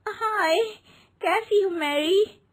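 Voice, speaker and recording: A woman's voice: extremely high pitch, extremely slow, pitched above the performer's own voice, heavily acted. A woman voicing a vain, demanding young celebrity daughter-in-law in a theatrical, sugary, entitled manner. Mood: breezy, self-important.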